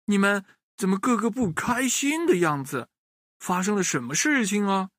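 A man's voice: high voice, animated, normal speed, fairly bright tone, dry recording, clean studio sound, voice pushed up. A man voicing a polite, humble monk disciple who hesitates with "uh".

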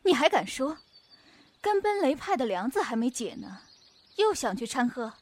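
A woman's voice: sits high, sing-song, moderate pace, dry recording. A woman playing a stern elder female disciple in a Chinese wuxia radio drama, scolding in a firm, reproving way.

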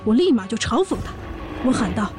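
Woman playing brash, high-voiced orc warrior big sister. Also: big pitch swings, a little fast, darkish tone, faint music behind. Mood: nostalgic, boastful. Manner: sassy, blunt, bossy. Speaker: voice actor in a fan audio drama. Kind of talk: audio drama acting and narration.

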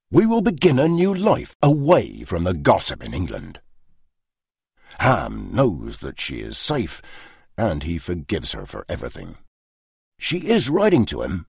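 A man's voice: sits low, gravelly, a little mellow, slightly rough, dry recording, clean studio sound, exaggerated voice. A man voicing a loyal, weathered older fisherman who speaks in an earnest, plain way.

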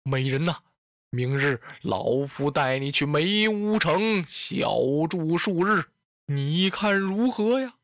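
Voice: medium pitch, animated, slow pace, a bit bright, dry recording. A storyteller voicing an old male tyrant in a historical tale, lordly and self-important, grave yet coaxing and doting.

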